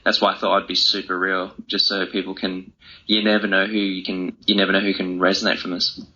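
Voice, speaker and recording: A young man answering interview questions casually and earnestly, often halting and rephrasing himself. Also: sits low, level tone, pushed and bright, slightly rough, highs cut off. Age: young adult. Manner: earnest, halting, self-correcting. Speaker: interview guest, author.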